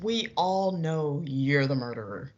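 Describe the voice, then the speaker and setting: A woman's voice: low voice, lively intonation, unhurried, darkish tone. A woman playing an insistent radio actress in a radio play, speaking emphatically. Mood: accusing. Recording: dull lo-fi recording.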